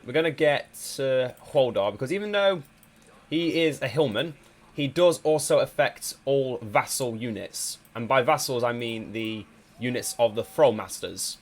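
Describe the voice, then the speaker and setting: A man's voice: medium pitch, animated, measured pace. A man with a slight cold, casually commentating a strategy game with plenty of hesitations and restarts. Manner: hesitant, many ums and restarts. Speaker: gameplay commentator.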